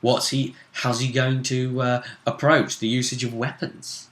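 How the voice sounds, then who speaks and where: A man's voice: medium pitch, little pitch movement, unhurried, fairly bright tone. A man hosting a tabletop role-play show, previewing match-ups in a casual, speculative commentary, recorded with a roomy echo.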